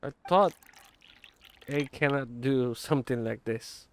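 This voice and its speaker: A woman's voice: rumbling low, a little slow, a little hiss or hum. A woman streamer giving casual, short reactions while playing a video game.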